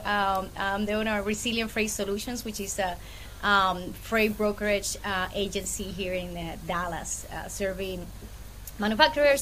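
A woman's voice: medium pitch, fairly bright tone, a little hiss or hum, poor audio. A woman from Puerto Rico with a Puerto Rican accent, chatting casually as a guest in an interview. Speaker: podcast guest, freight brokerage owner.

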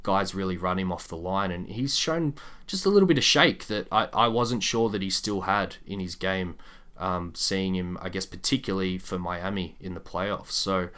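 A man's voice: deep voice, normal speed, a bit bright. A man hosting a sports podcast, discussing basketball in a casual, conversational way.

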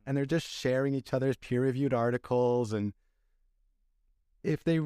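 A man's voice: medium pitch, normal speed, warm tone, clear, resonant tone, dry recording, clean studio sound. A young man hosting a casual podcast interview, making his points earnestly and rambling with restarts. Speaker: podcast host.

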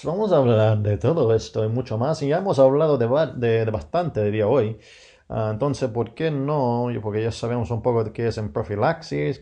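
A man speaking Spanish as a second language, rambling casually as a podcast host and often pausing to search for words. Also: lowish voice, dark tone. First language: not Spanish. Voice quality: gravelly, hesitant with many fillers and self-corrections. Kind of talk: casual monologue in a learner's Spanish.

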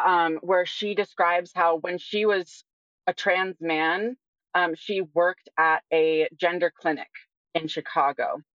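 A woman in her early twenties answering as an interview guest in a measured, matter-of-fact way. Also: lowish voice, no room sound. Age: early 20s. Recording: slightly dull lo-fi voice track.

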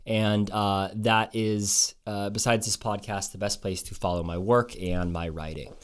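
A man's voice: lowish voice, steady tone, measured pace, clean tone. A man hosting a podcast who talks in a loose, chatty way, with fillers and restarts. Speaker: podcast host. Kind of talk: conversational podcast intro and interview.

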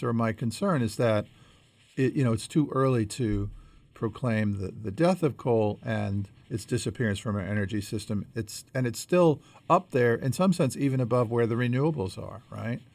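A man co-hosting a conversational podcast, explaining things in a measured way as he thinks aloud. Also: mid-range voice, lively intonation, creaky, a little mellow, no room sound. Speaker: podcast co-host.

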